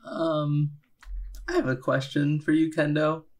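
A man with a slightly high voice and slow pace plays a tabletop game. He chats casually, hesitating as he finds his words. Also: level tone, darkish tone.

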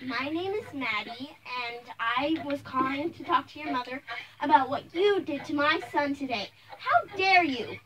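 A woman playing an indignant, protective mother, scolding in a halting, emphatic way in an improvised amateur role-play. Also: high-pitched, crowd noise, close to an everyday voice, poor audio. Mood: stern, indignant.

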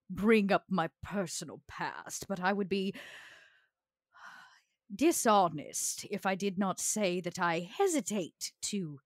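A woman's voice: lowish voice, no room sound, studio quality. A woman playing a poised, courteous vampire in a roleplay game, choosing her words formally and carefully. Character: poised, courteous vampire, a weary retired enforcer. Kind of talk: tabletop roleplay acting.

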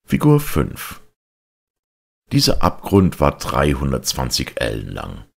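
A man with an extremely low voice narrates literary prose. His tone is husky and full of creak, and he reads in a measured, deliberate way. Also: wildly swooping pitch. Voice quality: gravelly, husky. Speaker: audiobook narrator. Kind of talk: literary audiobook reading, scripted narration.

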